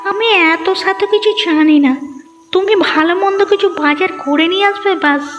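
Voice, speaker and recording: A woman's voice: very high voice, moderate pace, in a large hall, soft background music. A woman voicing a demanding, fretful young village wife in a cartoon. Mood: bossy, nagging. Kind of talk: Bengali cartoon voice acting.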